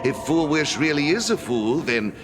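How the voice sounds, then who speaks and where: A man voicing a smooth, wary male fence and broker in a game, speaking in a suave, dryly guarded manner. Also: fairly high pitch, big pitch swings, moderate pace. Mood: musing. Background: game music.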